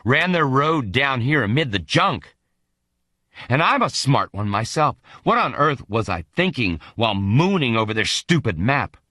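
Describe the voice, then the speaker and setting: A man's voice: medium pitch, sing-song, a little slow, bright, forceful tone, dry recording, clean studio sound. A man reading first-person fiction as an audiobook narrator, in a gritty, colloquial and dramatic storytelling manner.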